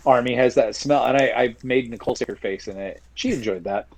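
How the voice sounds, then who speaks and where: A man's voice: medium pitch, fairly quick, darkish tone. A man co-hosting a gaming podcast, telling rambling stories in a casual, joking way. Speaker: podcast co-host. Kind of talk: casual chat.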